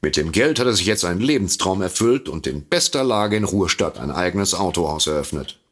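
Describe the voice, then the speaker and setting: A deep, droll, husky sidekick. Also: male voice, animated, a little fast. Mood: smug. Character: droll, self-satisfied male writer and detective's sidekick. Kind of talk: comedic audio drama acting.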